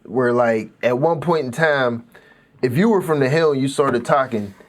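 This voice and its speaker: Podcast host, mid-pitch guy, faint pittsburgh accent, chill and reflective. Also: normal speed. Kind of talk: casual podcast conversation.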